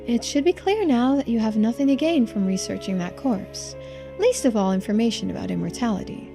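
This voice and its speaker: Girl doing a polite secretive housewife from a mystery game, high lilting voice, very proper, music playing behind. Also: lively intonation, gravelly. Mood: politely pressing. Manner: polite, measured, formal, quietly persuasive. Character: genteel, composed, secretive housewife who knows occult lore. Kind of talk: video game dialogue read aloud.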